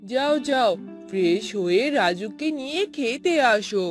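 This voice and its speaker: A woman voicing a scheming, greedy older mother-in-law in a cartoon, with a drawn-out, theatrical delivery. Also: on the high side, expressive, soft background music. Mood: coaxing. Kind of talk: Bengali cartoon voice acting.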